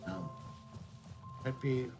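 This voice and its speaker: A man's voice: mid-range voice, extremely slow, music in the background. A man giving casual video game commentary as a streamer, musing aloud as he plays.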